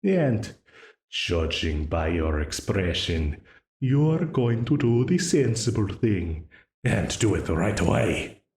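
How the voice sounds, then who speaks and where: A man playing a slow, mocking demon. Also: fairly low pitch, big pitch swings, a little mellow, roomy sound, full character voice. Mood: menacing, mocking. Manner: slow, taunting, with frequent laughs. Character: dominant scheming male demon, smug and menacing. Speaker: voice actor in an audio roleplay. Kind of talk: audio roleplay acting.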